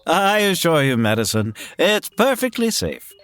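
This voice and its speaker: Earnest 1930s radio scientist, calm and precise, lively intonation. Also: male voice, on the high side, a little slow, no room sound. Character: earnest male scientist, the Doctor. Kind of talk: 1930s radio drama acting.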